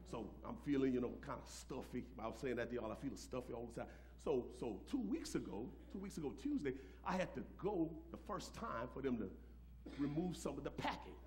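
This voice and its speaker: A man's voice: medium pitch, slight background noise. A middle-aged American man preaching as a pastor, telling personal stories in a folksy, emphatic way with repeated phrases. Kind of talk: animated sermon with personal storytelling. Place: church sanctuary, at a pulpit. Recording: live church room with audience reactions. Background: congregation reacting.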